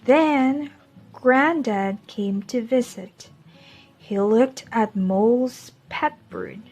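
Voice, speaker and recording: A woman reading a children's storybook aloud as a teacher, in a deliberate storytelling manner. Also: a little high, a little mellow, faint music behind.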